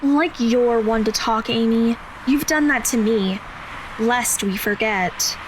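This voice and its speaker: A blunt teenage girl in an audio drama, acted by a woman. She speaks slowly in a slightly high voice with a sardonic, questioning edge. Noise is clearly audible behind her. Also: poor audio.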